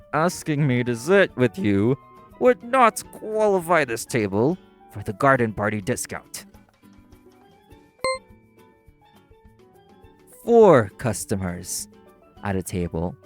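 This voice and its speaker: An actor playing a primly formal, sarcastic, exasperated waiter in an audio roleplay. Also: male voice, medium pitch, sing-song, a little slow, faint music behind. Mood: weary exasperation.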